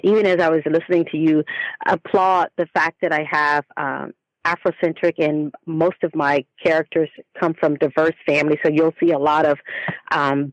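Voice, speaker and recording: A woman's voice: sits low, no room sound. Woman storyteller in a dull, dry recording. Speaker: guest, children's book author. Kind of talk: conversational interview storytelling.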